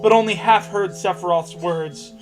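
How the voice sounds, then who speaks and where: Young dude mockingly reading stuff out, kinda high voice, sweary, game music behind him. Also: male voice, moderate pace. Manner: sarcastic, sweary, breaks off to joke. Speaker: co-host reading fan fiction aloud. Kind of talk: casual mocking read-aloud and commentary over a game.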